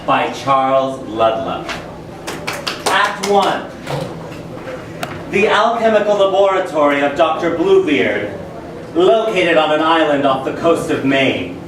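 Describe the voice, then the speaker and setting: Slow theatrical male narrator, very echoey. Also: a little high. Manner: announcer-like, deliberate. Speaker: narrator of a staged reading. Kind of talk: scripted theatrical narration, stage directions. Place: live venue with audience. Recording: echoey hall, far mic, background noise.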